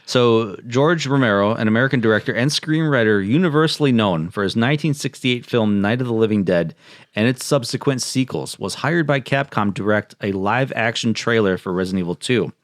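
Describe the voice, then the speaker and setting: A man's voice: medium pitch, a little fast, no echo, clean studio sound. A man hosting a podcast, moving between casual chat and reading out his notes. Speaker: podcast host.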